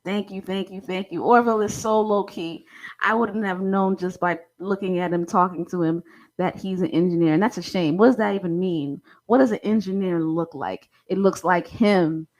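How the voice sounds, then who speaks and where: A woman's voice: fairly low pitch, normal speed, a little mellow, poor audio. Chatty woman hosting a live stream. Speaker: host.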